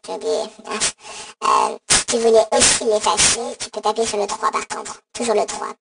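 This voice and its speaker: Gravelly, cheeky robot voicemail played by a woman. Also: medium pitch, steady tone, moderate pace. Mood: flippant. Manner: mocking, crude, rambling, mock-official. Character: cheeky, insulting female robot voicemail service. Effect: robot voice modulator. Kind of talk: improvised comic skit. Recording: distant, noisy phone app recording.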